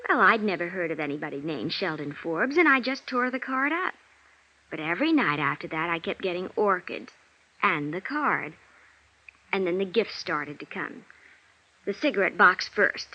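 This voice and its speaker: An actress playing a flustered, genteel young stage performer in a 1950s radio drama, with hesitant, breathy interjections. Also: female voice, on the low side, big pitch swings, moderate pace, bright, forceful tone, exaggerated voice. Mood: flustered, protesting. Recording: dull vintage radio recording.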